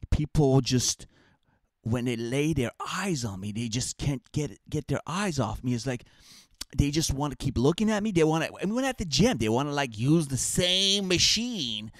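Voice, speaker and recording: A man's voice: mid-range voice, big pitch swings, normal speed, no echo, studio quality. A man with an Armenian accent co-hosting a comedy podcast, chatting casually in a rambling, mock-boastful way. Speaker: comic and podcast co-host.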